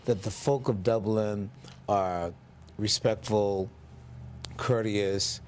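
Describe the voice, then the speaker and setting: A lower-voiced man hosts a local television interview. He talks in a measured, formal manner and fills his pauses with ums. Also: steady tone, a little mellow, a little hiss or hum. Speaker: TV interview host.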